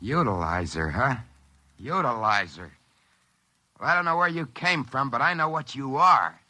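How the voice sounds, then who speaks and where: Bright, animated scheming American dreamer. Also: male voice, mid-range voice, normal speed, slight background noise. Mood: smug, musing. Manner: excitable, stammering when flustered. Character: work-shy scheming dreamer who finds a wishing machine. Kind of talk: mid-century American radio drama acting. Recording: vintage radio recording with faint music.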